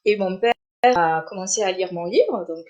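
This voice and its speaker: A middle-aged woman born in Armenia, an author chatting on a live stream, speaking with many pauses, fillers and restarts. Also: mid-range voice, a little mellow, highs cut off. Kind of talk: casual live-stream interview.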